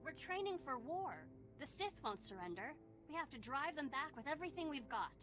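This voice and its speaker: A woman's voice: high voice, sing-song, a little fast, fairly bright tone, faint music behind. A woman playing a headstrong, eager young female Jedi apprentice in a video game, insistent and impassioned. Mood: defiant.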